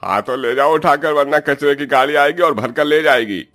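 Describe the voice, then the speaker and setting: A man's voice: a little high, normal speed, fairly bright tone. A man voicing a callous, dismissive street sweeper in a cartoon story.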